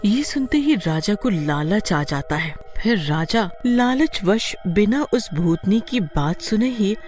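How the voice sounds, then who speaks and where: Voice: medium pitch, animated, slow pace, a little mellow. A storyteller giving a dramatic scripted narration of a horror tale. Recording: faint music behind the voice. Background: faint music.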